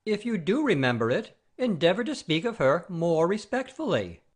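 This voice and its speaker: A man's voice: sits high, very animated, normal speed, husky, exaggerated voice. An actor playing a pompous, easily offended noble father-in-law in a classic comedy audio play, haughty and blustering. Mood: haughty, scolding.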